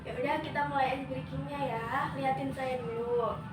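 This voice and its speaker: A woman's voice: high-pitched, fairly even intonation, normal speed, over music. A young woman leading a semi-formal group session as a student counselor. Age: young adult. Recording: distant, echoey room with loud noise.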